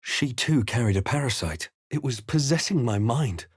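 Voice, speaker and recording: An actor playing a composed, brooding adventurer in a video game, recounting the journey with measured, grave, dramatic narration. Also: male voice, medium pitch, lively intonation, slightly rough, no room sound, studio quality.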